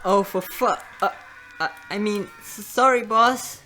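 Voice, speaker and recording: A woman's voice: medium pitch, a little slow, close to an everyday voice. A woman playing a nervous, apologetic demon underling in a comedic audio drama, stammering as she speaks to her boss. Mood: flustered, apologetic. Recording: loud noise and clicks.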